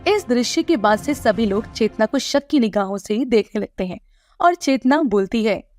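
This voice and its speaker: A woman giving scripted narration of an animated moral story. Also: on the high side, normal speed, faint music behind.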